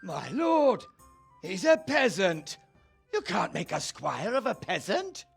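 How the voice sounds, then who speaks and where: Casting: snobbish noble, raspy breathy voice, wildly swooping, slow, game drama. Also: male voice, high-pitched, huge pitch swings, faint music behind, full character voice. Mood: indignant. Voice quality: husky, creaky. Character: indignant, snobbish noble objecting to peasants. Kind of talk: video game cutscene acting.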